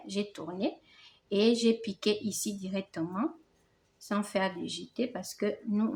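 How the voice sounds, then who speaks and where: A woman's voice: mid-range voice, a little mellow. A woman from Gabon with a Gabonese French accent, a native Fang speaker, hosting a casual crochet tutorial in a reassuring, affectionate way. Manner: affectionate, reassuring, addresses viewers as "les amours".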